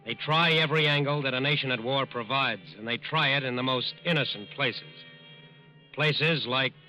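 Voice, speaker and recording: A man's voice: medium pitch, normal speed. A man narrating a 1940s radio drama from a script in a pointed, dramatic way. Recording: dull old radio recording. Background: loud-enough dramatic music.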